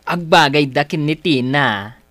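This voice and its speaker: A woman's voice: low-pitched, lively intonation, a little slow, a bit bright. An actress playing a teasing, scolding young woman friend in a Filipino radio drama comedy, chiding and exclamatory.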